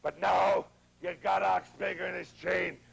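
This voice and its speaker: A man, a pro wrestler, ranting a menacing promo in a gravelly rasp, repeating worked-up threats. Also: high voice, fairly even intonation, unhurried. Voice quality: gravelly, rasping. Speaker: professional wrestler.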